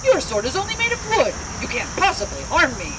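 A woman voicing a timid, kindly lion in a puppet show, fretful, hesitant and gentle in manner. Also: sits high, sing-song, fairly quick. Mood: nervous. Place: outdoor puppet theater at a reenactment. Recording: distant, noisy outdoor recording with insects.

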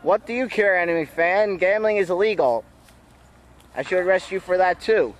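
A man's voice: sits high, normal speed, natural voice. A teen boy as a pompous Asgardian warlord. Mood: commanding. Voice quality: grandiose, solemn speech. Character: pompous young male Asgardian warlord hero. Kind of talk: homemade amateur video skit. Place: outdoors on a suburban lawn.

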